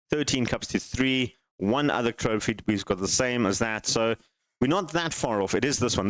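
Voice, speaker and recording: A man with a fairly low, smooth voice talks through his game save in a relaxed way. He thinks aloud, wanders off topic and asks himself questions. The sound is dry and close. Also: dry recording, low-quality recording. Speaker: gaming channel host. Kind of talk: casual game commentary.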